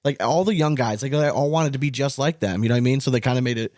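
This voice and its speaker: A man's voice: mid-range voice, fast talker, darkish tone, no room sound, clean studio sound. A man hosting a casual sports podcast, conversational, often checking that listeners follow him. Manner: conversational, often adds "you know what I mean". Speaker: podcast host.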